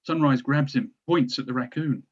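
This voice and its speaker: A man's voice: medium pitch, moderate pace. A man narrating stage directions from a drama script, recorded on dull, lo-fi audio.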